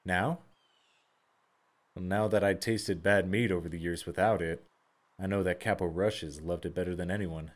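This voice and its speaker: A man's voice: low voice, fairly even intonation, moderate pace, pauses often, close to an everyday voice. An actor playing a reflective, reticent man, hesitant and pensive, in an audio theater dialogue.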